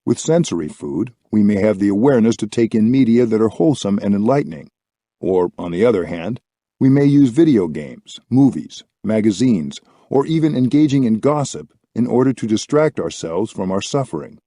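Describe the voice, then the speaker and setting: A man reading a reflective book as scripted narration, with measured, deliberate phrasing. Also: on the low side, animated, warm tone, no echo, studio quality. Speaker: audiobook reader.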